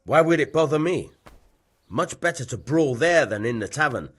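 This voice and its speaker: An actor playing a gruff, dismissive medieval town bailiff in a video game, husky and matter-of-fact. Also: male voice, a little high, lively intonation, moderate pace, a bit bright. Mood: defensive, dismissive. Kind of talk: video game dialogue acting.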